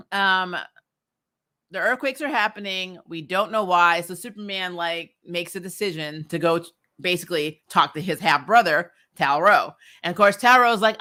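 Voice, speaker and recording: A woman hosts a casual recap in a bright, crisp-edged voice at an average pitch. She talks in an animated, slangy way and gives her opinions freely, like an informal review among fans. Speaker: host of a TV recap show. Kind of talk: casual chatty TV episode review.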